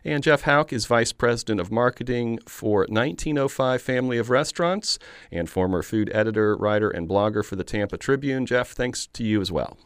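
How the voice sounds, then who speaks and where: A man hosting a public radio interview show, introducing his guests in a measured, friendly way. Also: mid-range voice, expressive, clean tone, dry recording, clean studio sound.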